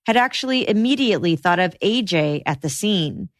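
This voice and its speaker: A woman hosting a podcast, reading scripted true crime narration in a measured, matter-of-fact way. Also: lowish voice, expressive, no room sound, clean studio sound.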